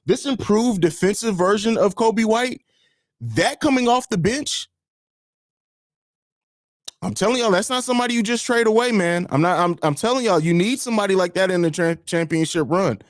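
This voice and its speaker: A hoarse man with a raspy voice hosting a live fan stream, talking emphatically and repeating himself, with casual swearing. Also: high-pitched, moderate pace, no echo, clean studio sound. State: hoarse from screaming. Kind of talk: excited sports fan stream chat.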